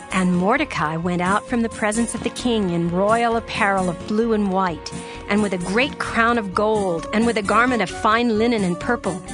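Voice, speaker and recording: Woman narrating scripture over music. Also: medium pitch. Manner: steady, formal storytelling cadence. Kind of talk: dramatized scripture reading. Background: soft music.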